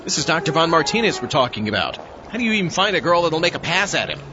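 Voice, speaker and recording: A man's voice: fairly high pitch, big pitch swings, fast, over music. A man playing a doubtful sidekick who doubles as podcast host in a comedy audio drama. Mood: doubtful, worried. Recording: muffled, with background hum and noise.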